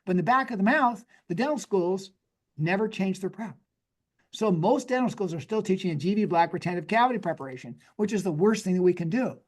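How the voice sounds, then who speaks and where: High-pitched, slightly raspy man explaining. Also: animated, fairly quick. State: voice worn from days of talking. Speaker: guest expert, dentist and inventor. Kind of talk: conversational technical explanation.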